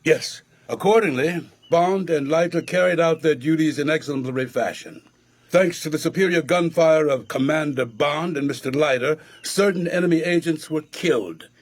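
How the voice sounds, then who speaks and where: An actor as a pompous but genial judge in a radio play. His fairly high male voice delivers formal phrases haltingly. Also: close to an everyday voice. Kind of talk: radio drama acting.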